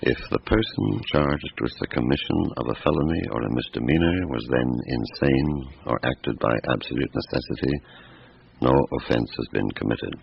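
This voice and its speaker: A stern radio-drama police inspector with a very deep, gravelly voice. He questions in a measured, authoritative way. The recording is muffled and faintly hissy. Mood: grave, firm. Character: stern, probing French police chief inspector, methodical man. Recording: muffled old radio recording, background hiss.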